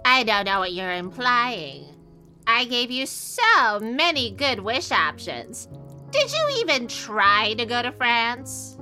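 Scheming old witch for comedy horror radio drama: high, forceful, theatrical, music underneath. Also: big pitch swings, slow, heavily acted, poor audio. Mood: gloating, scornful. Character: sly, menacing witch, an old lady, gloating and shrill.

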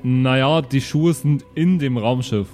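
Man playing a deadpan, naive male oddball. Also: mid-range voice, measured pace, faint music behind, lightly acted. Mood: nonchalant. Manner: deadpan, literal-minded.

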